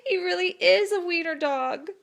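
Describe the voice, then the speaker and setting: A woman voicing neighborhood dogs in a children's story, crying out in an exaggerated, drawn-out way. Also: very high voice, gravelly, a bit bright, pitched above the performer's own voice, heavily acted. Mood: mocking. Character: pack of mocking, easily frightened neighborhood dogs.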